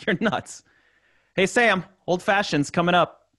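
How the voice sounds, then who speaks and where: A man's voice: high voice, slow, fairly bright tone, no room sound, clean studio sound. A man playing an easygoing, skeptical sidekick with 1930s slang in an old time radio drama. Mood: breezy. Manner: slangy, incredulous.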